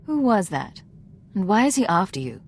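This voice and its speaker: An actress voicing a composed, authoritative woman intelligence agent in a video game, speaking in a measured, guarded, formal way. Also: mid-range voice, sing-song, slight background noise. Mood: probing. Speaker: player character in a video game. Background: music.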